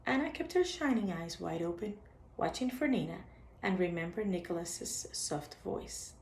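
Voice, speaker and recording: A woman's voice: fairly low pitch, animated, moderate pace, a little hiss or hum. A woman reading a children's bedtime story aloud as a storyteller, expressively, as if for young listeners. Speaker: storyteller reading a picture book. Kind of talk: read-aloud bedtime story with short asides on the pictures. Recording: echoey, roomy sound.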